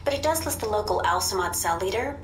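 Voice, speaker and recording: An actress playing a composed, wry intelligence handler in a video game, with a measured, matter-of-fact manner. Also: female voice, medium pitch, animated, lightly acted. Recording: roomy sound with music behind.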